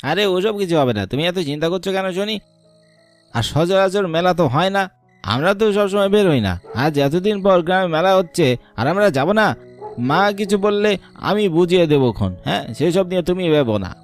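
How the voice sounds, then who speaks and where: A man's voice: high voice, lively intonation, brisk, soft background music. A man acting a carefree young village husband in a cartoon, coaxing and reassuring in a breezy, insistent way.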